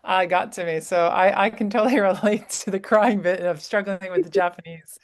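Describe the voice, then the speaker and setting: A woman's voice: medium pitch, fairly even intonation, a little fast. A woman co-hosting an interview, sharing a story in a laughing, hesitant way. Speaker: conference co-chair, interviewer. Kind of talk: casual interview chat.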